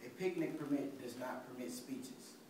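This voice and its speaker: A man's voice: mid-range voice, moderate pace, natural voice. A young man student reading dramatically as an indignant marcher recalling events in clipped phrases. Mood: indignant recollection. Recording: very echoey noisy hall, far off.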